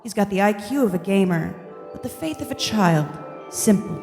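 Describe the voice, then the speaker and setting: A woman playing a casual, wry, deadpan God narrating a comedic radio play. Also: mid-range voice, moderate pace, in a large hall, faint music behind, lightly acted. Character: blasé, crude, wry God in heaven.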